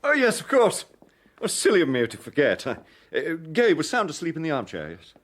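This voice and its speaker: An actor playing an anxious, protective British gentleman in a radio detective drama, speaking in a hesitant, apologetic way. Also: on the high side, wildly swooping pitch, fairly quick, no echo, exaggerated voice. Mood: flustered, evasive.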